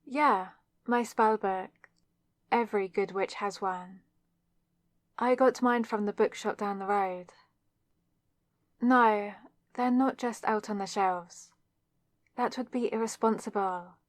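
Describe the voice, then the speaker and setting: A woman's voice: medium pitch, slow pace, long pauses, no echo, clean studio sound, lightly acted. A young woman playing a flustered, awkward student witch with a wry, self-deprecating manner in an ASMR roleplay, speaking straight to the listener. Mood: elated, shy. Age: young adult. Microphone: close microphone in a dry space.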